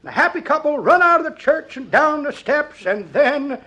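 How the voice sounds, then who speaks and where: A man's voice: very high voice, expressive, normal speed, bright, forceful tone, heavily acted. An actor playing a folksy, jokey old man who tells tall tales in rustic speech, in 1950s radio comedy style. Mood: chuckling, storytelling. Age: older.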